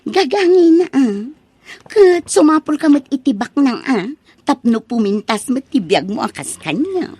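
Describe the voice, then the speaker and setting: A woman's voice: sits high, sing-song, unhurried, warm tone, slightly rough, dry recording, heavily acted. A woman playing a vain, money-minded young village bride-to-be in a comic radio drama, with an Ilocano Filipino accent. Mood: boastful. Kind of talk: comedic radio drama acting.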